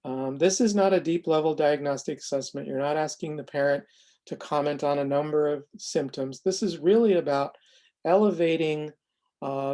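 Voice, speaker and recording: Mellow, measured presenter walking through a demo. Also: medium pitch. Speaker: presenter demonstrating a software tool. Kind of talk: semi-scripted explanatory demo narration.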